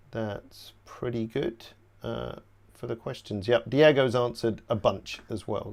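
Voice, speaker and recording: A man in his forties presenting a live software tutorial from a home office, talking in a relaxed, chatty way with frequent fillers, and his voice is slightly worn. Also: medium pitch, gravelly. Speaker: software trainer hosting a webinar. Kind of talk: live screen-share tutorial.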